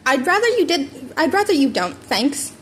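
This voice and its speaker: A woman's voice: high-pitched, big pitch swings, normal speed. A woman voicing a blunt, foul-mouthed, hot-tempered teenage boy in an amateur audiobook. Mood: exasperated. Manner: exasperated, blunt. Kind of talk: amateur audiobook character reading. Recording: home recording with background noise.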